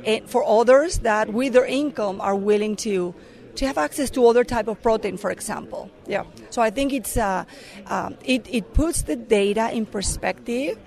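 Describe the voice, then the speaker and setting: Middle-aged woman from Mexico, Mexican accent, fairly high voice, earnest interviewee who pauses and restarts. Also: vocal fry, darkish tone. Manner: earnest, with frequent fillers and restarts. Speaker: corporate affairs director. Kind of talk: conference interview answers.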